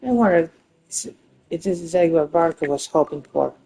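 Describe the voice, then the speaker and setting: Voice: mid-range voice, lively intonation, a little fast, warm tone, husky, rough recording. A streamer giving casual gameplay commentary, reacting briefly and reading game text aloud.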